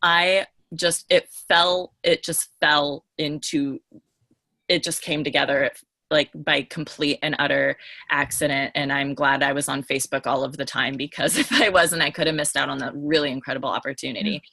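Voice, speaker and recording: A woman with a low-pitched, bright and forceful voice, recorded dry and close. She talks casually and candidly as a podcast panelist, emphatic and gushing in an informal way. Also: fairly even intonation, moderate pace, vocal fry, dry recording. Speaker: podcast panelist and book editor.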